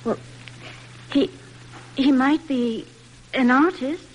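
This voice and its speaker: An actress playing a gentle, tactful wife in a hesitant, soft-spoken 1940s radio drama style. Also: female voice, high voice, slow, husky, full character voice. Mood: tentative. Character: gentle, tactful wife soothing her husband. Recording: noisy old radio broadcast recording.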